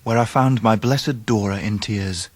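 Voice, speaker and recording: A composed gentleman narrator in a radio drama. His voice is on the low side. He tells his own story in polished, scripted phrases. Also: a little slow, no room sound.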